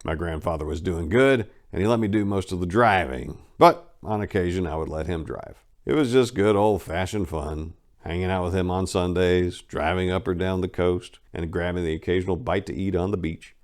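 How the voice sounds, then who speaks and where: Deep-voiced man narrating a memoir wryly. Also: moderate pace, hardly any pauses. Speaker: narrator reading a memoir aloud. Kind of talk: first-person dramatic reading.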